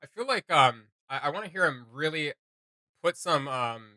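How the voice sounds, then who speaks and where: A man hosting a reaction show, giving informal, opinionated critique in casual chat, halting as he searches for words. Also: a little high, animated, music in the background.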